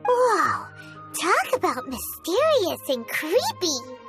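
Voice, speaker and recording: Squeaky, excitable little fairy companion. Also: female voice, takes it slowly, full character voice. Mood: amazed, uneasy. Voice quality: squeaky, shrill. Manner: perky, exclamatory. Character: tiny excitable fairy companion, childlike, speaks in third person. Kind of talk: video game character acting. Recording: music behind the voice.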